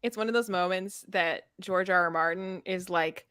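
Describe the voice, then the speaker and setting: Low-pitched woman chatting about books. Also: moderate pace, fairly bright tone, clear, resonant tone, no echo, studio quality. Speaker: co-host of a book readalong live chat. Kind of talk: casual book discussion.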